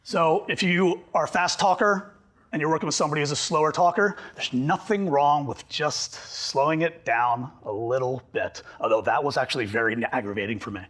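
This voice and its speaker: A man presenting a workshop to a group, talking fast and animated, slightly hoarse from losing his voice. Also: fairly high pitch. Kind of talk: live workshop talk to a group.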